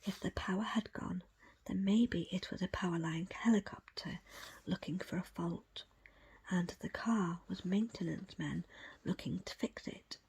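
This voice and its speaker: A woman reading a book aloud as ASMR in a breathy, unvoiced whisper, with hushed, deliberate phrasing in short pieces. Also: on the low side, poor audio. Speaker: audiobook reader.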